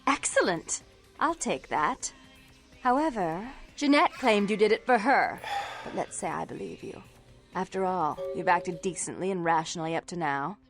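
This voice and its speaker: A woman's voice: on the low side, expressive, normal speed, faint music behind. A woman playing a stern, imperious vampire club owner in a video game, with formal, articulate speech. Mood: cool, stern.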